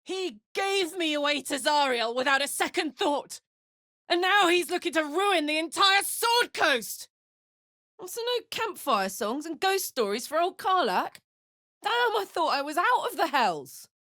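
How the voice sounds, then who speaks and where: A woman's voice: extremely high pitch, very animated, measured pace, sharp tone, dry recording, studio quality, exaggerated voice. An actress voicing a brash, big-hearted tiefling warrior woman in a video game, talking bluntly like a battle-hardened soldier with her feelings in the open. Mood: angry. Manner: blunt, informal, emotionally open soldier's talk. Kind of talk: video game character voice acting.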